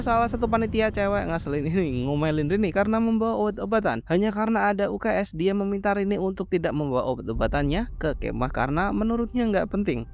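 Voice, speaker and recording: A high-voiced man delivers a scripted horror movie recap. His intonation swings widely as he recounts and explains the story in informal language, over faint background noise. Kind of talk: scripted storytelling narration of a horror film plot.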